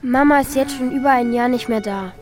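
High-voiced child narrator played by a woman. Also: a little fast, darkish tone, faint music behind, close to an everyday voice. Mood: confiding. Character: Mika, thoughtful child narrating lonely winter story. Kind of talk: scripted first-person audio drama narration.

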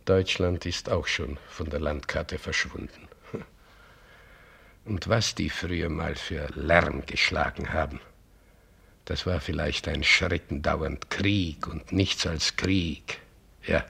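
An actor playing a grumbling, resigned old father out fishing in a radio play, muttering in a gravelly, husky voice. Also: very deep voice, fairly even intonation, slow pace, sharp tone, exaggerated voice. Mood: dryly grumbling. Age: older. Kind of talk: German radio play acting.

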